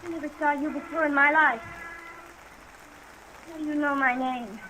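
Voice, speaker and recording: A woman's voice: sits high, fairly even intonation, moderate pace, pushed and bright, a faint crowd, rough recording. A woman acting a desperate, distrustful, agitated young woman in a 1950s radio drama. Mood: wary, bitter. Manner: agitated, defiant, suspicious. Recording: vintage radio recording, rain noise behind.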